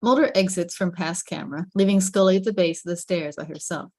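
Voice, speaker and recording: A woman's voice: lowish voice, brisk, a little mellow, no room sound. A woman reading stage directions as dramatic scripted narration, with suspenseful, emphatic phrasing.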